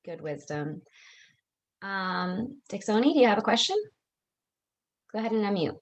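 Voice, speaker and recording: A woman moderating an online seminar, thanking speakers and calling on the next person in a brisk, friendly way. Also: medium pitch, animated. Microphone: video call.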